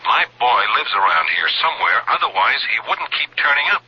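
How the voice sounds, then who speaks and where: An actor playing a determined, restless amateur sleuth with an American accent and a husky voice in a radio drama. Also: male voice, mid-range voice, very animated, brisk. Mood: frustrated, determined. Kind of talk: 1980s radio drama acting. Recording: dull telephone-like radio broadcast.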